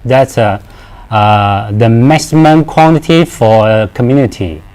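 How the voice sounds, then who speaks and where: A man in his thirties giving a product training presentation, speaking haltingly with repeated words and simple, non-native English phrasing. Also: mid-range voice, animated, a little mellow.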